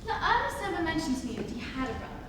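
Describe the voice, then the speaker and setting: A woman's voice: high voice, very animated, rapid-fire. A young woman playing a haughty, formal Victorian society lady in a dramatic reading of a stage comedy. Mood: relieved, then anxious. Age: young adult. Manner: formal, grand, pointedly polite. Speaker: student reader. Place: large reverberant room. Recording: echoey hall from far off, noisy.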